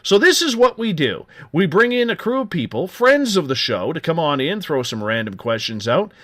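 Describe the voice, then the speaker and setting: A man's voice: a little high, very animated, normal speed, a bit bright, dry recording. A man hosting a live late-night radio talk show, giving upbeat, joking promotional patter. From Canada.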